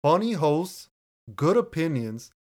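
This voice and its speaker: A man with a deep voice, co-hosting a casual podcast and reading listener reviews in an easygoing way, laughing often and restarting his words. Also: big pitch swings, slow, darkish tone. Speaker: podcast co-host. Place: home room, recording remotely.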